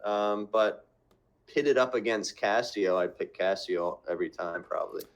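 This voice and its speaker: A man's voice: lowish voice, a little slow, vocal fry, rough recording. A man from central Vermont, a podcast guest, chatting in an easygoing, rambling way. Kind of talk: casual chat.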